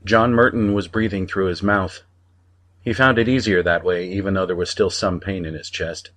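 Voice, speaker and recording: A man narrating fiction from a script as an audiobook reader, in a measured storytelling manner. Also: sits low, steady tone, fairly bright tone, a little hiss or hum.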